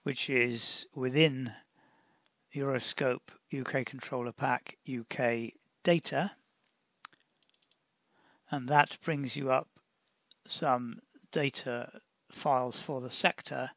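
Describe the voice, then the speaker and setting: Slow talking guy doing a software tutorial, bit of fry in his voice, pauses a lot, dry close sound. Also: medium pitch, dry recording. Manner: deliberate, instructional, with pauses mid-sentence. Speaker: tutorial presenter. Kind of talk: step-by-step software tutorial narration.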